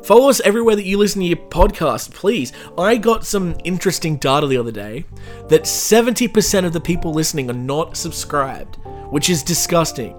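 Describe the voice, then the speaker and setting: A man's voice: a little high, animated, a little fast, soft background music. A man hosting his own podcast, reading a history story and then rambling and joking at himself in a casual sign-off. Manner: self-mocking, rambling, jokey. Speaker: podcast host.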